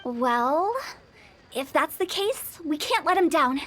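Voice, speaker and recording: A woman's voice: high voice, lively intonation, slow, fairly bright tone, a little hiss or hum, exaggerated voice. An actress playing a mischievous, self-assured young woman who runs a funeral parlor, teasing and giggly. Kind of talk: video game voice acting.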